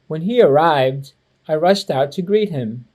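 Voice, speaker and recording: A man reading a children's storybook aloud to students with expressive, clear phrasing, like a school educator. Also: a little high, measured pace. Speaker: assistant principal reading to students.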